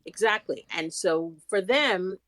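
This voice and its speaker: A woman's voice: on the low side, animated, takes it slowly, fairly bright tone, no room sound. A woman from Trinidad and Tobago co-hosting a podcast, thinking aloud about a TV episode. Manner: reflective, thinks aloud with restarts. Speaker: podcast co-host. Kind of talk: casual rewatch recap chat.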